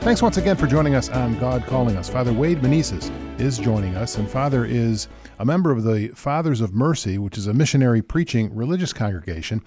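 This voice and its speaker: A man's voice: mid-range voice, animated, fairly quick, a little mellow, breathy edge, soft background music. An American man hosting a conversational radio interview, asking questions of his guest in a studio.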